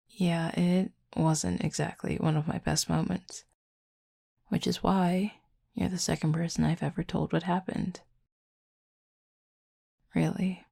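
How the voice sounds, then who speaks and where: Woman voicing a husky, flirty werewolf, deeply gravelly. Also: mellow, full character voice. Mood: amused, sheepish. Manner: dry, teasing, unhurried, wordy. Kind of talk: intimate audio roleplay, late-night chat.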